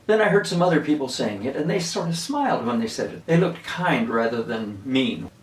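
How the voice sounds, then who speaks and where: A man's voice: mid-range voice, lively intonation. A man in his seventies who grew up in Venezuela, unhurriedly reminiscing and explaining words in a casual storytelling way. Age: 70s. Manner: unhurried, reminiscing, chuckles at his own stories. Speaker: linguistics video host. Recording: roomy echo, mic at a distance.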